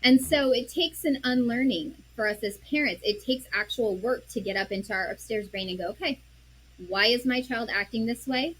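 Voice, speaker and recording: Earnest woman explaining parenting calmly. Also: a little high, a little hiss or hum, rough recording. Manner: earnest, explains with examples and quoted self-talk; drops to a soft, quiet voice when modeling calm. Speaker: parenting guest. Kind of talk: conversational interview.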